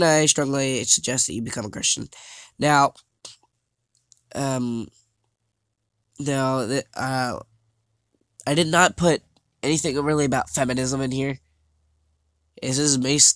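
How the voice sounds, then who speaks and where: A young man vlogging over slides, talking haltingly with many fillers and restarts, his voice a little scratchy and his nose stuffed up. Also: mid-range voice, fairly bright tone, no room sound. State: congested, slightly scratchy voice. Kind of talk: casual talk over slides.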